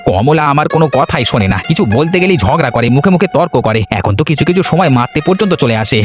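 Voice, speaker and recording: A man's voice: a little high, animated, fast talker, natural voice. A man voicing a meek, henpecked village husband in a cartoon, sounding submissive. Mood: helpless, puzzled. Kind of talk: Bengali cartoon dialogue. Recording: music under the dialogue.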